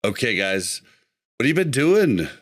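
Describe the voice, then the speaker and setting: A man's voice: mid-range voice, sing-song, unhurried, no echo, clean studio sound. A man hosting a casual gaming podcast, easygoing and laughing along with his guest. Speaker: podcast host.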